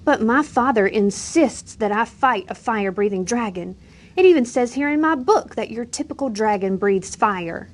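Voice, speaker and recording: A woman's voice: fairly high pitch, lively intonation, normal speed. An eager young knight in a storybook, kind and earnest, always encouraging his friend, voiced by a woman reading aloud. He sounds hopeful and good-hearted.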